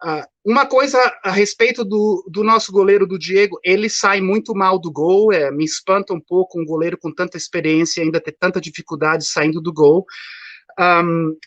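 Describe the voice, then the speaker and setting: Man, fifties, caller, rambling, high voice, dry dull call audio. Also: normal speed, a bit bright, dry recording. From São Paulo, Brazil. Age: 50s. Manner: rambling, many fillers and restarts. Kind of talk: casual chat. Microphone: remote call.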